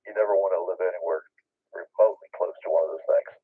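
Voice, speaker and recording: Low husky man on a tinny radio. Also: steady tone. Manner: rambling, halting, many uh fillers. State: voice cracking. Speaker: radio net check-in. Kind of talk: ham radio net chat. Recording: thin radio transmission like a phone line.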